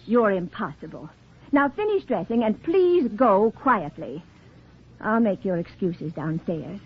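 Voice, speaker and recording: An actress playing a proud, flustered, long-suffering middle-aged society wife in a 1940s radio play, refined and anxious in manner. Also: female voice, medium pitch, very animated, measured pace. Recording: muffled, scratchy 1940s radio recording.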